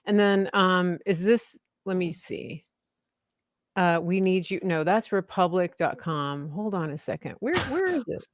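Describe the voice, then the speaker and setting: A woman's voice: on the low side, lively intonation, unhurried, no room sound, clean studio sound. A sixty-year-old woman hosting a live show in a casual, chatty, unscripted way.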